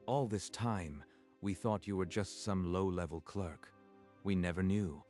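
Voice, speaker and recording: An actor playing a subdued, dismayed parent in a manga dub, with a hushed delivery. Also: male voice, low voice, measured pace, soft background music. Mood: stunned. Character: subdued, stunned parent of a grown son.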